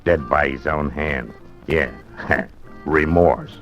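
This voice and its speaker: An actor playing a menacing male gangster with a croaking low voice, in 1940s radio acting. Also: slow pace, fairly bright tone, full character voice. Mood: bossy. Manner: bullying, sneering orders. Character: gravel-voiced male gangster called Froggy, menacing and sneering. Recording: muffled old radio broadcast with music.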